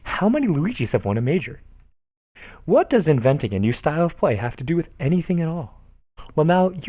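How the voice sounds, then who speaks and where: A man on a casual stream, reading forum posts aloud in a mocking, animated voice with frequent laughter. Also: mid-range voice, dry recording. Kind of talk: casual dramatic reading with commentary.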